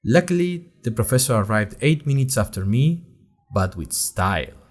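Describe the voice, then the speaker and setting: A man's voice: mid-range voice, very animated, normal speed, lightly acted. A man playing a chatty, nervous young college student who narrates his story in a wry, conversational way with dramatic emphasis. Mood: chatty, animated. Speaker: narrator of an audio fiction podcast. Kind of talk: scripted first-person storytelling, diary-like.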